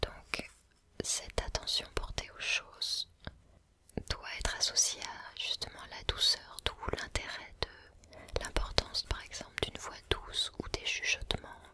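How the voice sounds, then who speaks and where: A man whispering softly in a slow, reflective ASMR video, pausing and restarting as he thinks aloud. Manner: musing, reflective.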